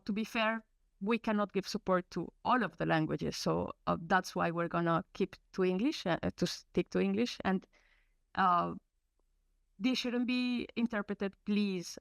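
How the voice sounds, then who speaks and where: A woman's voice: mid-range voice, gravelly, fairly bright tone, no room sound. A woman from Colombia, an English speaker, presenting in a webinar with many fillers and restarts. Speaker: research program presenter. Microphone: video call.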